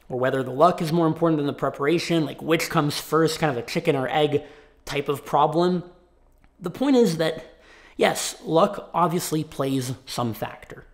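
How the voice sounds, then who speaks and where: Young man, raspy from being sick, animated talking-head vlog, slightly high voice, small home office. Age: young adult. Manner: animated, gestures a lot with his hands. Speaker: tech YouTuber.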